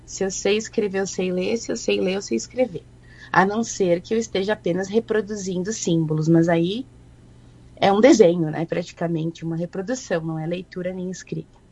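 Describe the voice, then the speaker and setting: A woman, explaining literacy as a guest in a relaxed, reflective way. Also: on the low side, brisk, slightly rough. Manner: reflective, thoughtful. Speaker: guest educator. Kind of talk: conversational explanation.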